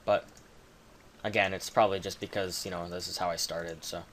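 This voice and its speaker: A man's voice: low-pitched, flat delivery, a little fast, fairly bright tone, a little hiss or hum. A man with a Canadian accent giving rambling, casual commentary while playing a game, swearing now and then. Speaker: gaming video creator.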